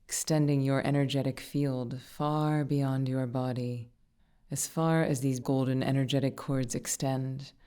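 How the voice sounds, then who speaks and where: A woman with a very deep, dark and relaxed voice leads a guided meditation. Her intonation stays flat and steady. She gives gentle instructions in short, unhurried phrases, leaving pauses between them. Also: clear, resonant tone.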